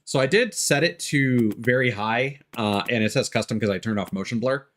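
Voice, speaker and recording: A man's voice: medium pitch, moderate pace, a bit bright. A man doing casual live game commentary, rambling and swearing as he muses aloud. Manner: rambling, profane, musing aloud. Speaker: game streamer. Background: game music.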